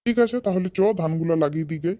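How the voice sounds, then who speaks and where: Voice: medium pitch, rapid-fire, dark tone, lightly acted. A performer voicing a fond, hard-working village farmer father in a comedy cartoon.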